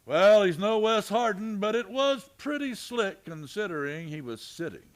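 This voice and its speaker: Guy doing a western lawman, slightly high voice, dry and terse, totally steady, radio play. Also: normal speed. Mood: dry, confident. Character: steady, dry, authoritative Old West marshal. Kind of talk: Western radio drama acting.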